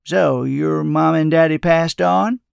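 A man's voice: fairly high pitch, gravelly, smooth, no room sound, studio quality, exaggerated voice. Man voicing a folksy, lilting old wanderer. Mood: gentle, probing. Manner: unhurried, soothing, folksy. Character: kindly, calm, folksy old male wanderer. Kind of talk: audiobook character dialogue.

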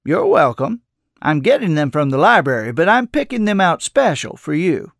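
A man's voice: on the high side, very animated, normal speed, no room sound, studio quality. A man voicing a kindly old wandering mentor with a playful, sage-like, teasing manner in an audiobook.

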